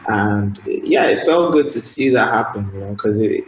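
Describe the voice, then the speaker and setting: Soft-spoken Nigerian man, roomy sound. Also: on the low side, normal speed, slight background noise. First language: Igbo. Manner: soft-spoken, relaxed, lots of fillers. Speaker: guest musician. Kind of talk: casual radio interview. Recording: roomy sound with faint ambience.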